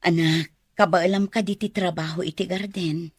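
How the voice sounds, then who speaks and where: A woman's voice: fairly low pitch, fairly even intonation, normal speed, darkish tone, breathy edge, no room sound. A woman playing a remorseful, worried mother in an Ilocano radio drama, tender and emotional. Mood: tender, concerned. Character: remorseful, worried mother visiting her son.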